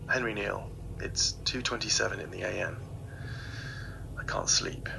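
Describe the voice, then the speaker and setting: Sleepless haunted male diarist, low pitch, half-whispered, uneasy, halting, podcast drama. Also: vocal fry, rough recording. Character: sleepless, haunted man recording a late-night audio diary. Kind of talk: hushed audio diary in a podcast drama.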